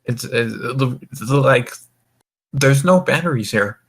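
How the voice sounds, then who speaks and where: A man's voice: medium pitch, expressive, slow, breathy edge. A young man from the American Mountain Time zone hosting a group voice call, tipsy, rambling and swearing, stumbling over his words. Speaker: voice call host. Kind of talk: casual group voice chat.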